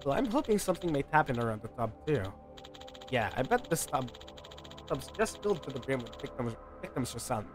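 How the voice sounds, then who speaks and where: A male streamer with a mid-pitched voice reads game dialogue aloud. He is playful and ad-libs freely, tripping over words now and then. Game music plays faintly behind him. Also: sing-song, brisk. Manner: playful, ad-libbing, stumbles while reading. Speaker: livestreamer. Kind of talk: reading game dialogue aloud with casual commentary. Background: video game music.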